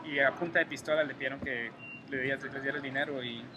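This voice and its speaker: A man's voice: mid-range voice, steady tone, quick pace. A young man from Guatemala with a Guatemalan Spanish accent, answering interview questions thoughtfully with many hesitations. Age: 20s. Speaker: interviewee, graduate student. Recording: noisy room ambience.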